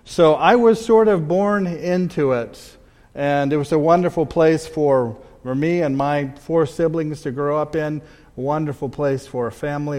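A man's voice: on the high side, a little mellow. Man presenting slides in a reverberant hall. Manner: conversational, with restarts and pauses. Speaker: missionary speaker. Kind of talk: slide presentation to a church audience.

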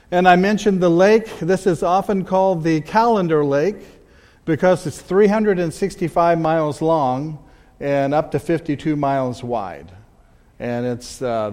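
A man's voice: fairly high pitch, darkish tone. A middle-aged man with a slightly raised voice speaks conversationally, stopping and restarting his phrases. He presents slides as a missionary to a church audience. His voice carries a long reverb from a large hall.

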